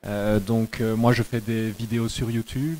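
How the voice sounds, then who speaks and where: Man, low voice, monotone, halting, panel guest, classroom. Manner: halting, stumbling over words. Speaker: panel guest, video creator. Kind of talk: casual self-introduction.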